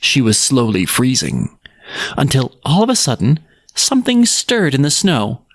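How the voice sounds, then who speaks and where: A man's voice: mid-range voice, huge pitch swings, measured pace, no echo, clean studio sound. A man narrating a scripted folk tale as a podcast storyteller.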